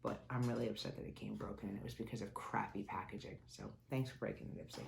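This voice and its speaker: A woman's voice: rumbling low, fairly even intonation, fast talker, creaky. A woman reviewing beauty subscription boxes in a casual, chatty way, sounding nasal from a cold. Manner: chatty, candid, grumbles about packaging. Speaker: beauty vlogger. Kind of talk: casual unboxing chat. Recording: echoey room with faint noise.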